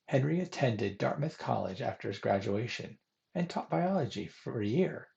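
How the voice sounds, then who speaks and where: A man presents scripted historical narration in a formal, read-aloud way. His pitch moves animatedly up and down across phrases. A noticeable creak gives the voice a gravelly edge. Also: expressive, normal speed, a little mellow, muffled recording, slight background noise. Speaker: presenter reading a biographical history.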